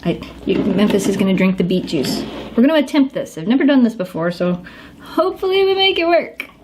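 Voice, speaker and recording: A woman's voice: mid-range voice, big pitch swings, fairly quick, noisy background. A woman hosting a casual home cooking how-to in her kitchen, chatting to her dogs as she works. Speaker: home cook demonstrating a recipe.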